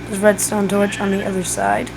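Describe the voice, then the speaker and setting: A woman's voice: mid-range voice, very animated, normal speed, background noise. A woman who is losing her voice gives a casual step-by-step game tutorial, thinking aloud as she builds. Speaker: gaming tutorial creator.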